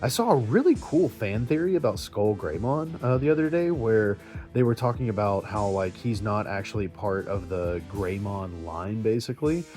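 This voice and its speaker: Man, lowish pitch, casual vlogger, enthusiastic geeky gamer chat, faint music behind. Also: animated, moderate pace, a little mellow. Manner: enthusiastic, geeky fan talk. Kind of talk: casual chatty vlog with play-by-play of handheld virtual pet games.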